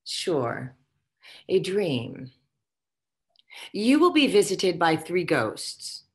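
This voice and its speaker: A haughty dead socialite lords it over her listener in a low voice. Her comic table-read delivery is theatrical and condescending, with big swoops in pitch. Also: sing-song, drawn-out words, full character voice. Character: haughty, foul-mouthed ghost of a dead socialite boss. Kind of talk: virtual table read, comic stage acting. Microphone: video call.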